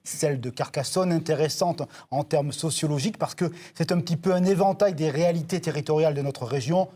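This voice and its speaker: A man co-hosting a televised political debate, setting out the programme in a brisk, explanatory way. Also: on the high side, dark tone. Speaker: debate co-host.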